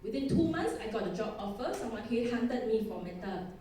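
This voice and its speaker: A woman's voice: fairly high pitch, animated, a little fast, mellow, slight background noise. A woman in her thirties from Thailand with a Thai accent, giving a chatty, self-deprecating conference talk and laughing often. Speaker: conference speaker. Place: conference hall. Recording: echoey hall, distant mic.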